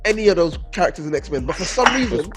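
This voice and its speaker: A man's voice: high voice, expressive, fast, a bit bright, faint music behind. A man in casual chat, talking emphatically and often repeating himself. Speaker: podcast panel member.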